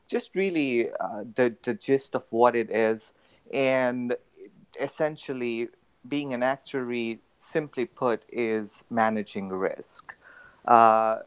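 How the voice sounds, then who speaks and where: A young man from Tanzania, a guest on a radio interview, explaining his work in a measured way with many fillers. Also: medium pitch, clean tone, low-quality recording. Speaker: guest, actuary.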